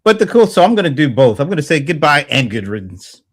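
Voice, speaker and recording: A man in his fifties in casual panel chat, thinking aloud in a halting way and repeating words. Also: fairly high pitch, very animated. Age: 50s. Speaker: panelist on a small business show.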